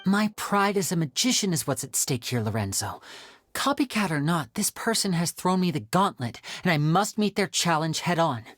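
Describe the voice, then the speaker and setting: A man's voice: a little high, very animated, moderate pace, a bit bright. An actor playing a polite, proud young male stage magician in a video game, speaking with formal, theatrical courtesy. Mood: earnest, determined. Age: young adult.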